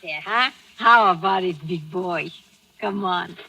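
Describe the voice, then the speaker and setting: Scheming, sultry woman, radio thriller, broken English, unhurried and sharp, teasing. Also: fairly low pitch, creaky, heavily acted, poor audio. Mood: flirtatious. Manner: flirtatious, taunting, broken English. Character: brash, sultry, scheming Cuban woman of the night. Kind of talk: 1940s radio thriller acting.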